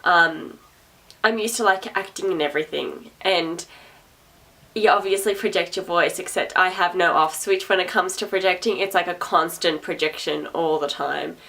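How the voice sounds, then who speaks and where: A young woman with a naturally loud, projecting voice rambles to camera. Her tone sits low with a gravelly fry, and she sounds a little distant from the mic. She is chatty, sarcastic and laughs easily. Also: moderate pace. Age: young adult. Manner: sarcastic, chatty, repeats herself, laughs often. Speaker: vlogger. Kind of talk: rambling casual talk to camera.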